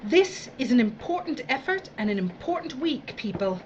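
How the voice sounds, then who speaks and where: A woman playing an imperious, disdainful overseer who demands results, brisk and haughty, in an audio drama. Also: fairly high pitch, sing-song, a little mellow, highs cut off, slight background noise. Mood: disdainful, demanding. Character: haughty, demanding female boss from Control.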